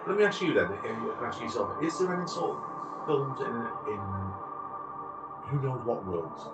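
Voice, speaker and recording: A man's voice: medium pitch, lively intonation, moderate pace, vocal fry. A man hosting a podcast in a casual, rambling and jokey way, heard in an echoey recording some way from the mic. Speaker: podcast host. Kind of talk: casual, informal podcast chat. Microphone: some distance from the mic. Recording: echoey, distant mic, music behind. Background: music.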